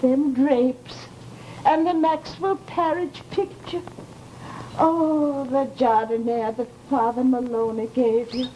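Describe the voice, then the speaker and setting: A gossipy American landlady in a vintage radio chiller. Her voice is high and her talk is folksy and exclamatory, quick to take offense. The old recording has some noise under it. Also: steady tone, dark tone, poor audio. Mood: gossipy, amazed. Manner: gossipy, folksy, exclamatory, huffy when slighted. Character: chatty, nosy, easily offended landlady, Missus Hawkins. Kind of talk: old-time radio horror drama acting.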